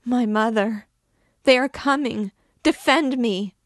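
A woman's voice: a little high, slow pace, no echo, clean studio sound, voice pushed up. A woman voicing a terrified young woman facing death, crying out shrilly in distress in a dramatic storybook reading. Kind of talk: dramatic audiobook character reading.

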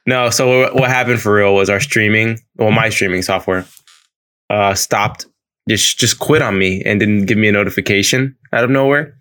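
Young male co-host, low-pitched, dry close sound, jokey. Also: moderate pace, a bit bright, no room sound. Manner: teasing, joking banter. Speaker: podcast co-host. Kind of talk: casual chat. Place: home, on a video call.

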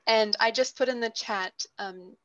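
A woman's voice: fairly high pitch, a little slow, muffled recording, background noise. A woman moderator in a friendly manner, thanking the audience and wrapping up an online conference session over a video call.

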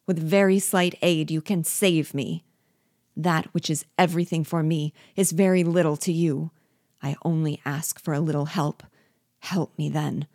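A woman's voice: low-pitched, a little mellow, dry recording, clean studio sound. A woman voicing a spiteful male dwarf jester in an audiobook, menacing at first and then begging frantically for his life. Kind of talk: audiobook reading.

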